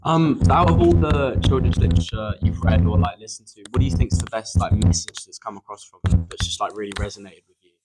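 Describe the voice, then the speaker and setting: A man asking interview questions in a halting way, padding them with "like". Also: on the low side, lively intonation, very noisy. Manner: halting, lots of "like".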